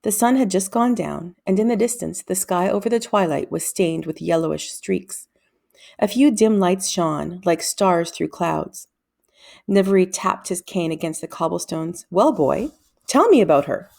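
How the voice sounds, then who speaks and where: Low, creaky-voiced woman storyteller. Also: measured pace, a little mellow. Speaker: read-aloud narrator. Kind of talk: scripted storybook narration.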